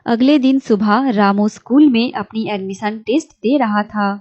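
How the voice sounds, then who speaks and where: A woman giving scripted narration for a children's animated story. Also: fairly high pitch, moderate pace, no room sound, muffled recording. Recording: music behind the narration.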